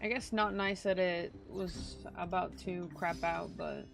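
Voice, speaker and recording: Creaky-voiced woman streaming a game. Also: on the low side, fairly even intonation, a little slow. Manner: informal, swearing, reacting in short bursts. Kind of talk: casual livestream chat over gameplay. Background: video game music and dialogue.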